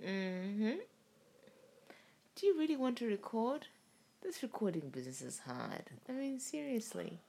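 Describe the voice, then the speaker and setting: A woman's voice: mid-range voice, sing-song, unhurried, vocal fry, long pauses, dark tone. A young woman co-hosting a casual, tipsy podcast chat, rambling, swearing and laughing often, whose first language is not English. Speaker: podcast co-host. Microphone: home recording, lying down.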